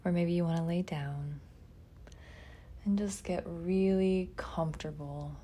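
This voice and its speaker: A woman leading a guided meditation in a soft, soothing manner, pausing often and trailing into breathiness. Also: on the low side, fairly even intonation, slight background noise.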